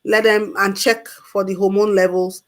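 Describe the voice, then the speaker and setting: A woman's voice: medium pitch, flat delivery, normal speed. A woman on a call-in talk show giving health advice in an emphatic way, repeating her key points. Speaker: health expert guest.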